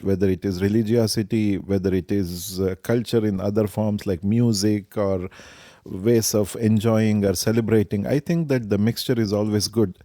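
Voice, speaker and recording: A middle-aged man, answering family interview questions in a measured, reflective way. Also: lowish voice, mellow, dry recording, clean studio sound. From India. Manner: measured, reflective, some hesitations. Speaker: interviewee, stepfather.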